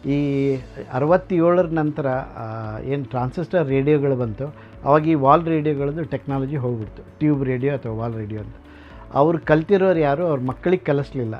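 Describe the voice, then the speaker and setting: Dull-sounding man explaining his collection, music behind. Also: a little high. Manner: halting explanations with frequent pauses, English words mixed in. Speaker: vintage radio collector and restorer. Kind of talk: informal explanatory museum tour to camera. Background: background music.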